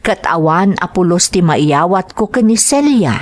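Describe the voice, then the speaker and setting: A man's voice: high-pitched, expressive, a little slow, no echo. Man acting a confessional husband narrating, dry sound. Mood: reflective, confessional. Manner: confessional, storytelling. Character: remorseful husband confessing his vices in a letter. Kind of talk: first-person dramatized narration.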